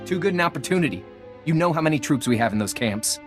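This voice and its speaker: An actor playing a dutiful, guarded captured lieutenant in a video game, speaking in an earnest, hesitant military manner. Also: male voice, on the high side, lively intonation, quick pace, music in the background, close to an everyday voice.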